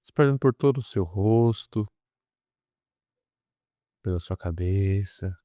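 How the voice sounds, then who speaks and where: A man with a Brazilian Portuguese accent leading a guided meditation, soothing and repetitive, with pauses between drawn-out phrases. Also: sits low, mellow, no room sound, clean studio sound. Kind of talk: guided meditation, hypnotic induction.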